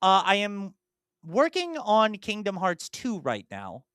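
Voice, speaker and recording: Rambling young man, smooth voice. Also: high-pitched, wildly swooping pitch, slow, a bit bright, no echo, clean studio sound. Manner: rambling, detailed, with many ums and restarts. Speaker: podcast co-host and streamer. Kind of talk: casual chat about video games.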